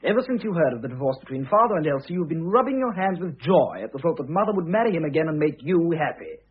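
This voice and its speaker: An actor playing a sardonic, sharp-tongued young son in a classic radio drama, blunt and impatient. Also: male voice, fairly high pitch, big pitch swings, fast talker, slightly rough. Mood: scornful. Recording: slightly muffled vintage radio recording.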